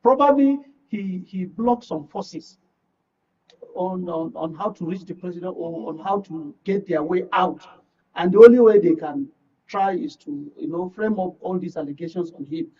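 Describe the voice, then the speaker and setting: High, husky Nigerian man, muffled sound. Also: normal speed, dark tone. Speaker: guest commentator. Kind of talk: political discussion. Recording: muffled, dull broadcast sound.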